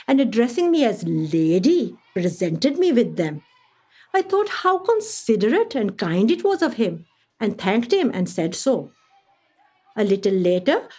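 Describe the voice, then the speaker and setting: A refined Indian lady traveller of the early 1900s, recounts her journeys as a radio monologue. Her fairly high voice rises and falls expressively, with formal, literary phrasing and an amused temper. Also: darkish tone. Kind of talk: radio play monologue, storytelling.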